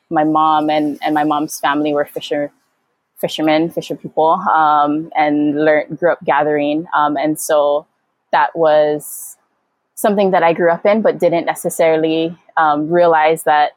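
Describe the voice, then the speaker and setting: A woman from Hawaii speaking reflectively as an interview guest, thoughtful and halting as she searches for words. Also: deep voice, dry recording, rough recording. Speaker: guest, Hawaiian educator and activist.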